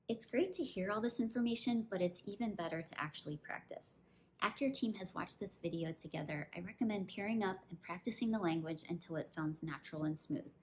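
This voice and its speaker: A woman with a slightly low-pitched voice presents instructional training as a coach. She explains each point clearly, step by step. There is faint ambient noise in the recording. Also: rough recording. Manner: clear step-by-step explaining, with acted example phrases.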